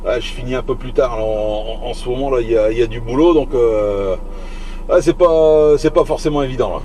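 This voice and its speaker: A man's voice: medium pitch, a little fast, mellow. A man chatting casually on CB radio while driving, hesitant and often repeating himself. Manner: hesitant, repetitive phrasing. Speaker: CB radio operator, channel host. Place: inside a vehicle on the road. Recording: noisy vehicle cabin, CB radio ambience.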